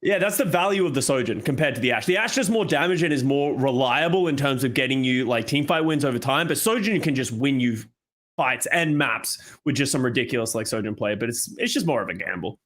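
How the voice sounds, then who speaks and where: A man's voice: medium pitch, expressive, brisk, fairly bright tone. A man in casual panel chat, giving analysis as a podcast co-host.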